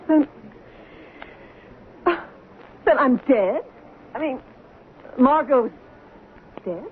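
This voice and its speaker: Proud, high-voiced wounded soap star. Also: female voice, expressive, drawn-out words, full character voice. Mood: stunned, indignant. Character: proud soap actress wife, wounded and vengeful. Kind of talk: radio drama acting. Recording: tinny, noisy 1970s radio recording.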